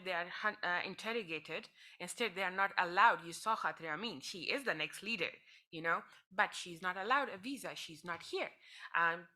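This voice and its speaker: A young woman from Afghanistan speaks on a panel. Her voice is bright and forceful at a middling pitch, and she tells her story earnestly, repeating phrases for emphasis. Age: 20s. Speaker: panelist, women's rights advocate.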